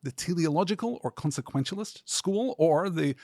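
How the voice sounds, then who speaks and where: A man hosting a podcast as a scripted literary lecture, speaking in a measured, earnest, academic way. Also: fairly high pitch, lively intonation, gravelly, darkish tone, no room sound, studio quality. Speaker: podcast host.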